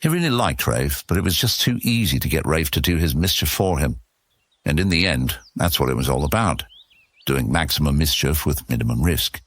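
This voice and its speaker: A man's voice: a bass voice, expressive, moderate pace, a bit bright, dry recording. A man narrating a scripted fantasy story as the narrator of an audio serial.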